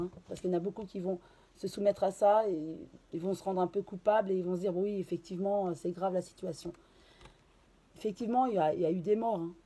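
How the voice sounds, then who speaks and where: A woman with a hoarse voice from a cold, giving a casual card reading and channeling, with many hesitations and restarts. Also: mid-range voice, mellow. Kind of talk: casual tarot reading to camera.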